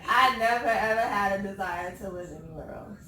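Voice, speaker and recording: A woman in her thirties from California, chatting casually on a talk show, laughing often and pausing with ums. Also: mid-range voice, sharp tone. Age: 30s. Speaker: co-host. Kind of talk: casual chat. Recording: echoey room, far from the mic, background noise.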